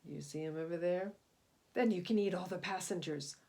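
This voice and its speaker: A woman's voice: fairly low pitch, normal speed, warm tone, close to an everyday voice. A woman voicing a bullying shark in a children's storybook, with a taunting, sneering manner. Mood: goading. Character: sneering bully shark goading others to mischief.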